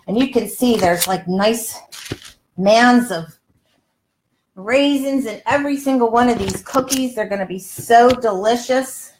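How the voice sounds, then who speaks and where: A woman's voice: on the high side, slight background noise. An older woman chatting casually and exclaiming to viewers as she hosts a live cooking demonstration from her home kitchen. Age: older, around 60s. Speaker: health coach and cooking show host. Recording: roomy, echoey home kitchen sound.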